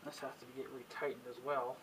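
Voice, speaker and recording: A man's voice: medium pitch, flat delivery, quick pace, very noisy. A man giving casual, offhand DIY vlog commentary, thinking aloud in a folksy way as he works. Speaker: amateur DIY video maker.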